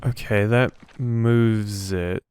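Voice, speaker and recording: A man's voice: on the low side, fairly even intonation, very slow, darkish tone, clean tone, no room sound. A man giving casual gameplay commentary, thinking aloud and questioning himself as he goes. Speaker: let's play host.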